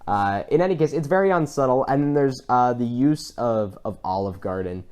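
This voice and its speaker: A man hosting a casual review discussion, talking in a rambling, halting way that circles back mid-sentence. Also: medium pitch, animated, a little mellow, smooth. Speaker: podcast host.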